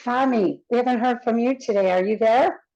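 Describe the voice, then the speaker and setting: A woman's voice: a little high, brisk, dark tone, lo-fi sound. A woman taking part in a class on a video call, chatty and asking questions. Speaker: class participant.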